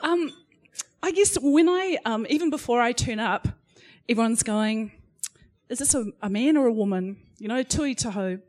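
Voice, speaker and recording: A woman's voice: a little high, lively intonation, moderate pace, darkish tone. A middle-aged woman, speaking frankly and self-deprecatingly on a live panel, with many fillers and restarts. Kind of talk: candid personal talk on a live panel. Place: live panel event before an audience.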